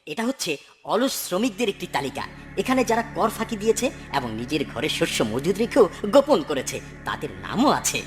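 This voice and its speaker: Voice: mid-range voice, very animated, fairly quick, over music, lightly acted. An actor playing a greedy, fawning and ambitious man in a dubbed cartoon, scheming and obsequious in manner. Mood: businesslike, servile. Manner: scheming, obsequious, self-congratulating.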